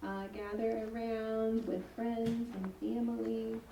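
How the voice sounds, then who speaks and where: A woman's voice: fairly high pitch, level tone, takes it slowly, gravelly. A woman leading a congregation, giving announcements and a closing prayer in an earnest way with many ums. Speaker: service leader. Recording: echoey room, far from mic, faint hiss.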